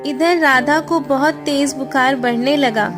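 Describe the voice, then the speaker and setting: Slow, high-pitched woman narrating a story. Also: steady tone, music in the background. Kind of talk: scripted Hindi moral story narration.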